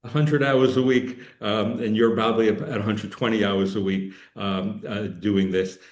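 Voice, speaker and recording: The sound is noticeably echoey. A mature man from the United States talks in a fairly low voice as a podcast guest, telling anecdotes casually and rambling with frequent halts. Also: steady tone, normal speed, darkish tone. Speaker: podcast guest, political analyst. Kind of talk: casual interview talk.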